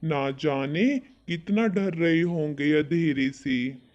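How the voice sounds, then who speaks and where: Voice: medium pitch, takes it slowly, darkish tone, lo-fi sound, voice pushed down, close to an everyday voice. A performer voicing a determined, protective mother goat in a children's cartoon story, worried and commanding. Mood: worried, searching.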